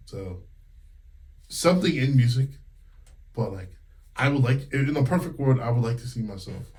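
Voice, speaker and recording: A man in his thirties from Connecticut, a singer and music teacher, talking candidly in a casual interview with many fillers. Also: on the low side. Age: 30s. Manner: relaxed and candid, many fillers and quoted retellings.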